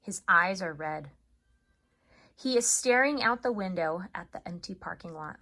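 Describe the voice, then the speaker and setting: A woman speaking as an elementary school teacher in a video lesson for children, chatty and expressive as she talks and reads aloud. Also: on the low side, unhurried. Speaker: third grade teacher.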